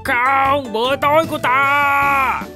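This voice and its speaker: A man's voice: extremely high pitch, flat delivery, slow, over music. A man voicing a hungry, scheming wolf villain in a children's cartoon, theatrical and menacing. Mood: dismayed.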